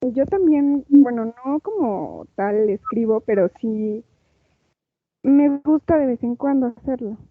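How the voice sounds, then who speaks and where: A young woman, a student, politely asks a question in an online class. She hesitates and fills pauses with "eh". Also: on the high side, lively intonation, dry recording. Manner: hesitant, polite, many fillers. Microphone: video call. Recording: dry tinny call line.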